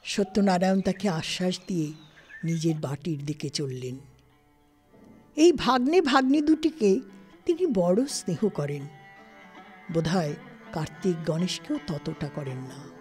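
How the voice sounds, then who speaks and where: A woman narrating a literary story in an expressive, scripted style. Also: fairly low pitch, measured pace, long pauses. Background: music.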